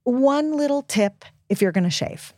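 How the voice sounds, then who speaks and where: A woman's voice: high-pitched, lively intonation, measured pace, a little mellow, dry recording. A middle-aged American woman speaks as an expert guest, explaining in a conversational, plain-spoken way. She leans on key points and repeats them for emphasis. The sound is dry, with very little room. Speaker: guest expert and author on puberty.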